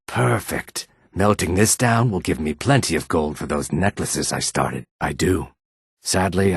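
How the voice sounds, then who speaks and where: An actor playing a weary, guarded male lizard-folk character in a fantasy video game, speaking in a measured, formal way with a raspy, rumbling voice. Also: no echo, clean studio sound, exaggerated voice. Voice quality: raspy, husky, low rumbling.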